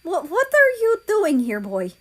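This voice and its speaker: A tall, anguished man in an audiobook story, played by a woman reader. The voice lifts high above ordinary talk, breathless and exclaiming, full of tearful emotion. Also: huge pitch swings, measured pace, a bit bright, full character voice. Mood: startled. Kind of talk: audiobook character voice.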